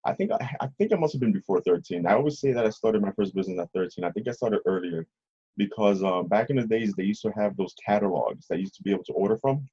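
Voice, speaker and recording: A middle-aged man telling stories casually as an interview guest, husky, rambling and often checking in with the listener. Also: on the low side, expressive, fast, dark tone. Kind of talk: casual conversational storytelling. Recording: slightly dull, lo-fi audio.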